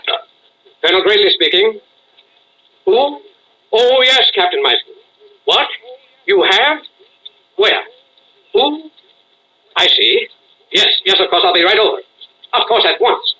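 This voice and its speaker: An actor playing a brisk, irritable American intelligence colonel in 1940s radio drama style, with clipped, urgent delivery. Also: male voice, high voice, animated, pushed and bright, husky, exaggerated voice, low-quality recording.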